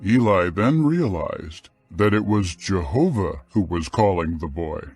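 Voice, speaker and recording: Slow, solemn male scripture narrator. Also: deep voice, animated, darkish tone, faint music behind. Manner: solemn, measured, clearly phrased.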